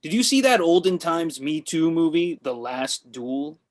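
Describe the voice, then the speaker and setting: A man's voice: a little high, sing-song, a little slow. A man playing a pushy, deluded washed-up actor with an earnest, self-important manner in a comedy table read. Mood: eager, pitching. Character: Drama, pushy deluded male washed-up actor pitching ideas.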